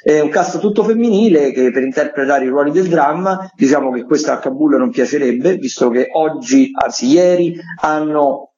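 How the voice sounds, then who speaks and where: A man's voice: fairly high pitch, moderate pace, few pauses, a little mellow. A man giving chatty radio recommendations as a theatre critic, wry and a little hesitant. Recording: slightly dull lo-fi radio link.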